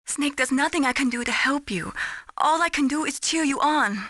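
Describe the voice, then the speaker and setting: Game casting: caring young female radio operator, slightly high and bright, earnest, gently chiding, very dry sound. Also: normal speed, no echo. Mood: troubled, earnest. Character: caring young Chinese-American woman, mission radio support operator. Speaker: mission support operator. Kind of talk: video game voice acting.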